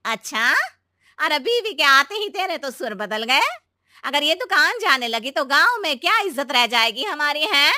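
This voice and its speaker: A woman voicing a stern, bossy middle-aged Indian mother-in-law in a cartoon moral story, with sharp, huffy remarks. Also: high-pitched, very animated, normal speed, no echo, clean studio sound, pitched above the performer's own voice, exaggerated voice. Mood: angry, scolding.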